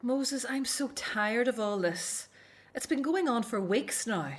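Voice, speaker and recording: A woman's voice: a little high, expressive, slow pace. A woman playing a dutiful, outspoken grown daughter in an audio drama set in ancient Egypt. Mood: weary, complaining.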